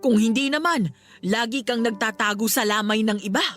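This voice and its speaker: A woman playing a concerned middle-aged mother who gently scolds her grown child in a Tagalog radio drama. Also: on the high side, normal speed, soft background music, lightly acted. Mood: exasperated, questioning.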